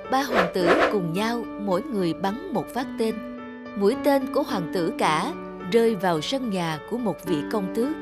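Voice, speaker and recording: A woman reading scripted fairy-tale narration as the storyteller. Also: mid-range voice.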